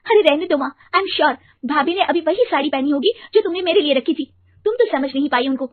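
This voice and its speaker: Woman voicing a high, plaintive young married daughter. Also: animated, fairly quick, no echo, narrow, tinny audio. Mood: annoyed. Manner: whining, protesting.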